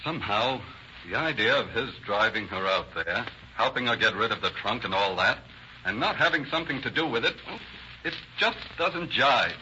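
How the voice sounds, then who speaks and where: Shrewd, forceful police inspector. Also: male voice, mid-range voice, expressive. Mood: musing, suspicious. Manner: probing, deliberate questioning. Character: shrewd, methodical male police inspector questioning suspects. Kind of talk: 1930s radio crime drama acting. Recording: dull, noisy old radio recording.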